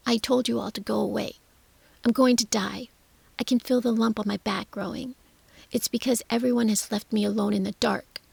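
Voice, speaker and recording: A woman's voice: a little high, fairly even intonation, normal speed, creaky, slightly rough, no room sound, exaggerated voice. A woman voicing a sickly, spoiled rich boy who shrieks and whines in self-pity. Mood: shrill wailing. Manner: loud, whiny, self-pitying.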